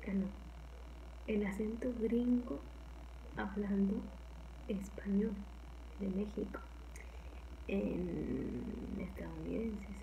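A young Mexican woman with a Mexican accent chatting casually for her ASMR channel, rambling softly and often slipping into a whisper in a small home kitchen. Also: medium pitch, slow, creaky, pauses often, highs cut off, slight background noise. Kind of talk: casual soft-spoken chat.

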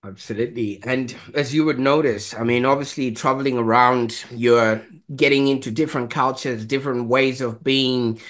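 A man's voice: medium pitch, steady tone, normal speed, few pauses, fairly bright tone. Thirties male host, video call from a home study, affirming, long-winded questions. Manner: affirming, rambling questions with many "you know"s.

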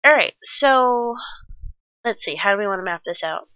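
Woman, congested and stuffy, rambly let's-play commentary, bright forward tone, dry dull home mic. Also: mid-range voice, expressive, moderate pace, no echo. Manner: rambling, many ums and restarts, chatty. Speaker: Minecraft let's play creator. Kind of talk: casual gameplay commentary.

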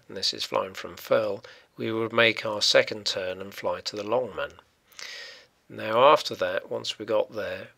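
A man from England, a paragliding instructor, giving a voice-over commentary on flight footage in an explanatory, brisk way, his voice trailing off at the ends of phrases. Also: fairly low pitch, creaky, a bit bright.

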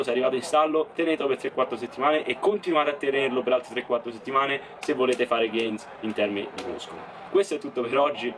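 A young man in his twenties explaining training to camera in a small indoor room, quick and animated. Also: medium pitch, distant mic, background noise. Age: 20s. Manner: quick and animated, uses English gym terms. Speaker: fitness youtuber.

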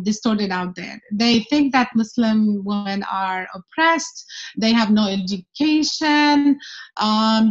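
A middle-aged woman from Syria, a guest speaking earnestly on a video call, with many fillers and restarts. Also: fairly high pitch. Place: room at home. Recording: dull lo-fi video call audio.

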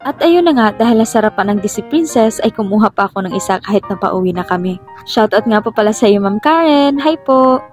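Young filipina vlogger doing a playful travel voiceover, filipino accent, medium pitch, music under it. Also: female voice, animated, fairly quick, a little mellow. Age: young adult. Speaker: vlogger, narrator. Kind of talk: scripted travel vlog narration. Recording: voice-over with music behind.

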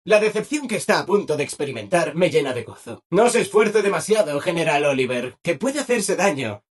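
An eager robot helper from a video game, speaking with a Spain Spanish accent. He is exaggeratedly polite and cheerful, with very animated pitch swings and a slightly husky tone. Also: brisk. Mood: chirpy, polite. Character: relentlessly cheerful, obliging male robot assistant. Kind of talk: video game character dialogue.

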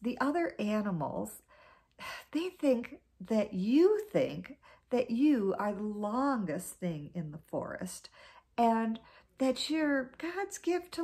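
A woman's voice: fairly high pitch, a little mellow, full character voice. A woman voicing a small, sly male spider trickster who talks in a coaxing, wheedling way.

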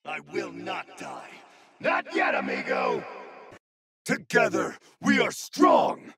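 An actor voicing a grim, defiant armored knight for a video game in a gravelly growl. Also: male voice, extremely low pitch, wildly swooping pitch, takes it slowly, fairly bright tone, shouting, full character voice. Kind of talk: video game character voice lines.

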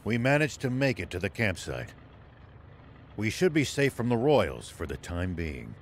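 A seasoned old tank veteran, low in pitch with lively swings. He is gruff and steady, a game hero who has seen war. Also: unhurried, slight background noise. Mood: calm, pleased. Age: older. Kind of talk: video game voice acting.

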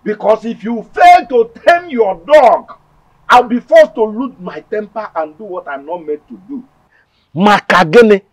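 A man's voice: high-pitched, poor audio. A man with a Nigerian Igbo accent playing an angry village father protective of his daughter, menacing and repetitive in a film drama. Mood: heated. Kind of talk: Nigerian film drama acting, switching between English and Igbo.

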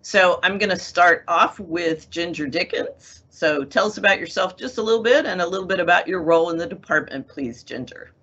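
Middle-aged woman, panel moderator, bright tone, muffled call audio. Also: fairly low pitch, fairly quick, hardly any pauses, slightly rough. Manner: friendly, organized introductions. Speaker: moderator, assistant dean. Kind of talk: hosting a video-call panel. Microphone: video call. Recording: dull lo-fi video-call audio.

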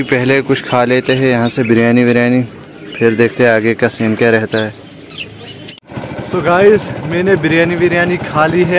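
A young man talks casually to his vlog audience. He is chatty and often repeats phrases for emphasis. The phone recording, made at arm's length outdoors, has clear background noise. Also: on the high side. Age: 20s. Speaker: vlogger. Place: outdoors on village roads, paths and by water. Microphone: handheld phone at arm's length.

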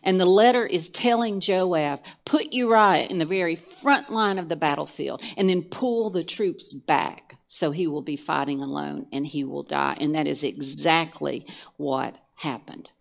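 An older woman co-hosting a church Bible study show, retelling scripture stories in a measured way with some fillers. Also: deep voice, vocal fry. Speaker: co-host teaching scripture. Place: church studio set, indoors.

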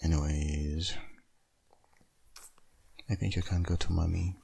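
A man playing a tender, wistful young father still in love with his ex in a boyfriend ASMR roleplay, speaking hesitantly in an intimate, husky, gravelly murmur. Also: deep voice, fairly even intonation, slow, warm tone, exaggerated voice. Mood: gentle, soothing. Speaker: audio roleplay voice actor.

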